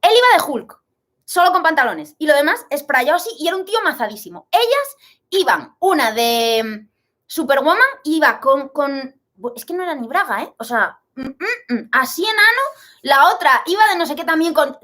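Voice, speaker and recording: A woman from Spain co-hosting a casual livestream, telling stories in an animated way and reading out viewer questions. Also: high-pitched, moderate pace. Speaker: livestream co-host.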